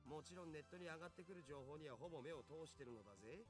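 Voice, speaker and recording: An actor playing an excitable geeky young otaku hacker with slangy speech in an anime-style visual novel. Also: male voice, fairly high pitch, racing, over music, close to an everyday voice. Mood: geeky, eager. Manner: slangy, geeky speech with quirky sentence endings.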